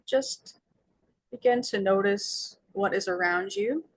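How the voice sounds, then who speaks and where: A woman leading a guided meditation as its guide, speaking in gentle, soothing invitations with long pauses. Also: mid-range voice, rough recording.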